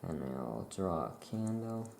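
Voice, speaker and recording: A soft-spoken ASMR performer playing a laid-back witch shopkeeper, murmuring in an unhurried way while writing. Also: low-pitched, sing-song, creaky. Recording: roomy echo, faint background noise.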